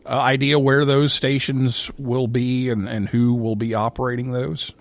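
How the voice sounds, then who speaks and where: Halting male talk show host, dry close sound. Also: mid-range voice, flat delivery, no echo. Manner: halting, repeats words. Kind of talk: casual live interview show talk.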